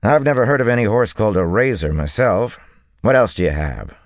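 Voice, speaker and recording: A man's voice: fairly low pitch, sing-song, fairly quick, dry recording, studio quality, pitched above the performer's own voice. A man voicing a wry, doubtful male horse buyer haggling in an audiobook. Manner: doubtful, grumbling bargainer. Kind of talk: audiobook character dialogue.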